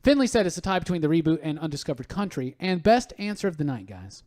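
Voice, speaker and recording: High-pitched man hosting a podcast. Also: sing-song, quick pace, a little mellow. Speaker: podcast host. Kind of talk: casual panel chat.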